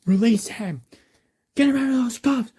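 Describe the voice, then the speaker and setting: A man acts out an angry, frantic prisoner in a fan story. The voice jumps far above normal talk and shouts urgently, hammering on repeated phrases. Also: very high-pitched, normal speed, husky, pitched above the performer's own voice, full character voice. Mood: frantic protest.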